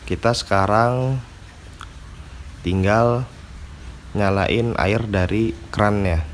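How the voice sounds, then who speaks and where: Male vlogger, slightly low voice, stuffy nose from a cold, relaxed how-to delivery with faint noise behind. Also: extremely slow. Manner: casual slangy talk, frequent fillers. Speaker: aquarium hobby vlogger. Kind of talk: casual tutorial chat.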